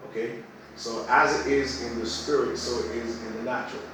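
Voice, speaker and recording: A man's voice: mid-range voice, steady tone, a little fast, fairly bright tone, slight background noise. Echoey man teaching emphatically. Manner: emphatic, repeats phrases for effect. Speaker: religious teacher, guest apostle. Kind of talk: teaching conversation. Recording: very echoey room, far from mic.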